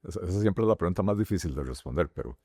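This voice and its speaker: A middle-aged man with a Costa Rican accent, answering interview questions as a candidate in a halting way with many fillers and restarts. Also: low-pitched, lively intonation, mellow, no echo, studio quality. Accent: Costa Rican Spanish. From Costa Rica. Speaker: presidential candidate.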